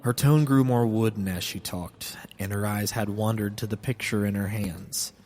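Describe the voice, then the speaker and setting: A man's voice: on the low side, fairly even intonation, normal speed, darkish tone. A man reading a scripted first-person horror story as a solemn, literary narrator. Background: music.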